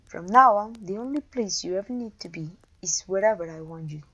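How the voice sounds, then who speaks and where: A woman's voice: mid-range voice, lively intonation, a little fast, fairly bright tone, rough recording. A woman playing a haughty, domineering evil queen and sorceress in a scripted roleplay audio, imperious, scornful and theatrical. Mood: smug, possessive. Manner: imperious, scornful, theatrical, with sighs and disgusted groans.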